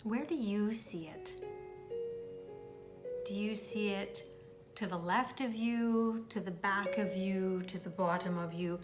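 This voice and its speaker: Slow-paced woman guiding a meditation over music. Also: medium pitch. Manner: soothing, deliberate, with long pauses. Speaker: guided meditation host. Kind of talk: guided meditation, spoken instruction.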